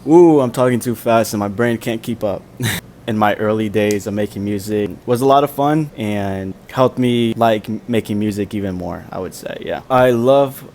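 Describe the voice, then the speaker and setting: A young man, an independent musician, answering fan questions in a casual, soft-spoken, chatty way with lots of fillers. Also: lowish voice, moderate pace, a little hiss or hum. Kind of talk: casual Q&A vlog.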